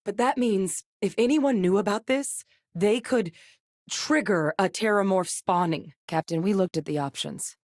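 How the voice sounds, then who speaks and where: A voice actress playing a composed woman scientist with a military past, speaking in a measured, clipped, matter-of-fact way. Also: medium pitch, a little mellow, no echo, studio quality, close to an everyday voice. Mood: steady. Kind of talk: video game voice lines.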